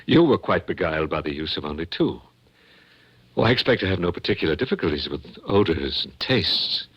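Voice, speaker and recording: A deep, husky and sharp-edged older villain in vintage radio drama. He is a coolly boastful scientist who speaks with measured, mocking courtesy, very dry and close. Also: big pitch swings, no room sound, highs cut off, exaggerated voice. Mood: smug, coolly polite. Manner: mocking formal politeness, measured and superior. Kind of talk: 1940s radio acting.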